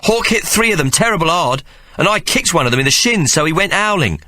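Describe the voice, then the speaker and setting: A man voicing a scrappy small boy who talks indignantly in rough street grammar. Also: on the high side, big pitch swings, fairly quick, sharp tone, no echo, voice pushed up.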